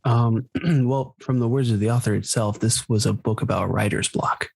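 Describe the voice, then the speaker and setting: A man co-hosting a casual book club podcast, speaking in halting, measured phrases. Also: mid-range voice, steady tone, vocal fry, darkish tone, husky, no room sound.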